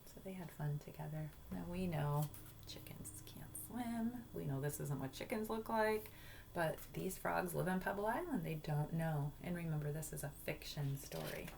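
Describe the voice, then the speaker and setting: A woman's voice: deep voice, normal speed, creaky, background noise. A woman teacher reading a storybook to children expressively and explaining it in simple phrases.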